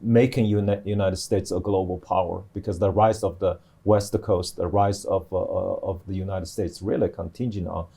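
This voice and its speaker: A middle-aged man explaining things on a panel as a professor, in a halting way with many restarts. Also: low-pitched, steady tone, vocal fry, warm tone, slightly rough. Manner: halting, hesitant delivery with repeated restarts. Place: TV studio.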